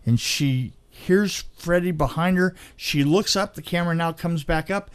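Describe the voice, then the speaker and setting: A man's voice: a little high, a little slow, clear, resonant tone, no echo. A man telling behind-the-scenes film stories in a casual, conversational way, acting out the exchanges he quotes. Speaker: film crew veteran, podcast storyteller. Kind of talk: casual anecdotal storytelling.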